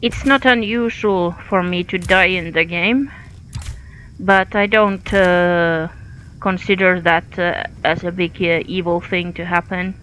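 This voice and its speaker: Slow, hesitant Finnish-accented woman. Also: lowish voice, steady tone, a bit bright, slight background noise, poor audio. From Finland. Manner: hesitant, many fillers, thinking aloud. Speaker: game streamer. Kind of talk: live gameplay commentary.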